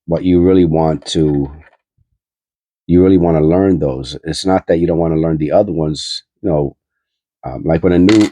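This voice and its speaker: Male host, congested and nasal, very deep and dark, husky, rambling casual coin talk. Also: dry recording. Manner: rambling, filler-heavy, thinking aloud. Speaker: coin-hunting channel host. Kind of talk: casual coin roll hunting commentary.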